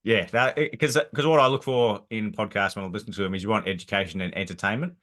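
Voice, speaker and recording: A man hosting a podcast interview, with a deep, fairly monotone voice. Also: fast talker. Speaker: podcast host. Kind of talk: casual interview.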